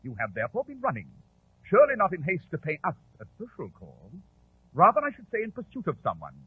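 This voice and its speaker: A brilliant detective, superior and dryly sardonic. His voice is fairly high and very raspy, on a tinny old 1940s radio drama recording. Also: huge pitch swings, quick pace, heavily acted. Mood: superior, incisive. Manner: crisp, pompous, dryly sardonic.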